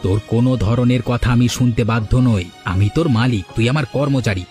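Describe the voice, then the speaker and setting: A man acts out a money-proud village hotel owner from a cartoon. He is boastful, domineering and quick to taunt, in a mid-pitched male voice over clear background music. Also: a little mellow, lightly acted. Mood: bossy scolding. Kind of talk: Bengali village cartoon dialogue.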